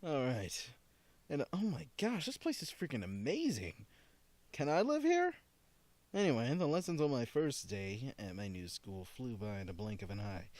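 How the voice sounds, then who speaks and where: A man's voice: medium pitch, sing-song, normal speed, darkish tone, dry recording. A man doing a let's play, reading visual novel text aloud and dropping in casual, slangy asides. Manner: casual slangy asides between read passages. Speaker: video game let's player. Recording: music playing behind the voice. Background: game music.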